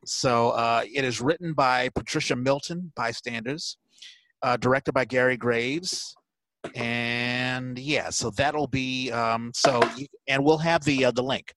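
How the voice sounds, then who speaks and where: A man hosting a casual podcast chat, announcing events haltingly and repeating words for emphasis. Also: medium pitch, fairly even intonation, a bit bright, dry recording, low-quality recording. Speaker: podcast host.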